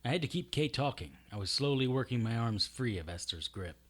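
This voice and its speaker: A man's voice: mid-range voice, animated, a little fast, natural voice. A man playing a wisecracking, defiant occult investigator in an audio drama, wry and sardonic. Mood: tense narration. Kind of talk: audio drama acting with first-person narration.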